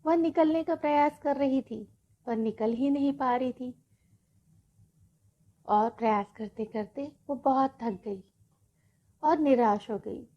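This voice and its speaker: A woman's voice: high-pitched, slow pace, long pauses, highs cut off. A woman telling a children's moral story as a narrator.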